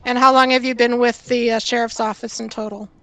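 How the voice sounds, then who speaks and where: A woman runs a hearing over a video call in a brisk, matter-of-fact way. Her voice sits fairly high but stays level and flat, with little rise or fall. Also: rough recording. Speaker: hearing officer administering the oath. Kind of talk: formal procedural speech.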